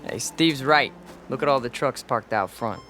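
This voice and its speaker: An eager young biker who wants to fit in, in a radio play. His medium-pitched voice is hesitant and a bit stiff with slang. There is faint music behind it. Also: normal speed, bright, forceful tone. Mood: earnest. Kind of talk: radio drama acting.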